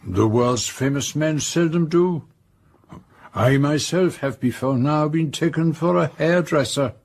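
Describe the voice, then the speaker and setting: An actor playing a courteous, self-assured Belgian detective with a French-speaking accent and precise, formal speech in a radio drama. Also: male voice, fairly high pitch, unhurried, a little mellow. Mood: courteous, amused. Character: courteous, self-assured foreign detective, older dapper man. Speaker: lead detective and narrator. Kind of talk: radio drama acting and narration.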